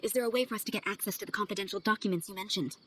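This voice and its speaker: A woman's voice: mid-range voice, racing, fairly bright tone, close to an everyday voice. An actress playing a composed, probing female traveler in video game dialogue, persuasive and questioning in manner. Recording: game audio over ambient noise.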